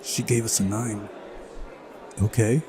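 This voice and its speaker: A man's voice: lowish voice, level tone, takes it slowly, noisy background. A man doing a visual novel playthrough, switching between reading narration aloud and casual commentary.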